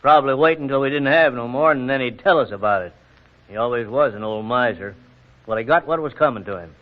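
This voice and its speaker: A man's voice: mid-range voice, quick pace, lightly acted. An actor playing a blunt, folksy small-town countryman in a 1940s radio drama. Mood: scornful. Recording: dull old radio broadcast.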